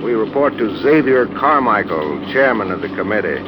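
A man's voice: on the low side, sing-song, moderate pace, over music. A man acting a composed, capable private investigator in a 1940s radio adventure, speaking in a matter-of-fact, unruffled way on an old recording. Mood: calm, explaining.

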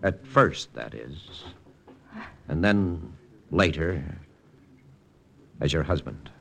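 A man's voice: extremely low pitch, animated, drawn-out words, vocal fry, heavily acted. An actor playing a cool, persuasive American wartime lieutenant with an American accent, telling his story in hardboiled 1940s radio drama style. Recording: old radio broadcast with music.

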